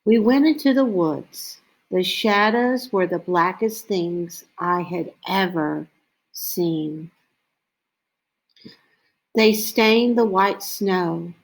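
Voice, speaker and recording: A woman reading a children's story aloud in a deliberate way, with frequent pauses between short phrases. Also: lowish voice. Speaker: storybook reader.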